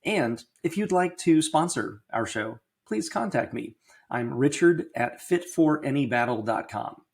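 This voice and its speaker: A middle-aged man with a slightly crackly voice hosting a casual personal health podcast, talking candidly and explaining in a measured way. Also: medium pitch, lively intonation, vocal fry. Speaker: podcast host.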